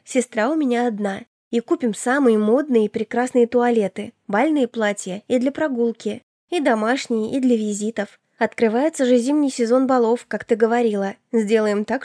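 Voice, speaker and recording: A woman's voice: a little high, moderate pace, few pauses, mellow, dry recording, studio quality, natural voice. A woman voicing a caring, protective elder sister in her twenties in an audiobook, firm, reassuring and motherly. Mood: reassuring, encouraging. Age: 20s. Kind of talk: audiobook character dialogue.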